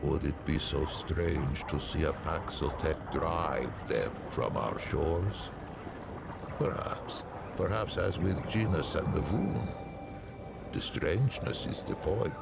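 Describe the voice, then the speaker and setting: Deep, solemn alien sage. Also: male voice, heavy background noise, heavily acted. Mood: grave, grateful. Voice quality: low, creaky. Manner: measured, formal, stately phrasing. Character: solemn, wise male alien forest-dweller scholar. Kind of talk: theatrical video game character acting. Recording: loud music under the voice.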